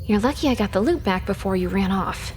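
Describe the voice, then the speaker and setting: A woman's voice: mid-range voice, expressive, brisk, darkish tone, slight background noise, natural voice. An actress playing a sardonic, teasing young female hacker in a sci-fi video game, speaking in a matter-of-fact way. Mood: teasing, dry. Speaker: video game character actor. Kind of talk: scripted video game character dialogue.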